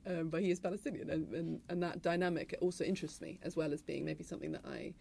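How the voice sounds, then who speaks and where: A woman novelist talks quickly on a panel, with a gravelly voice. She hedges her points and lets her phrases fade out softly. Faint background noise runs under her voice. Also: low voice, steady tone. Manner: quick, hedging, trails off softly. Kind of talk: panel discussion.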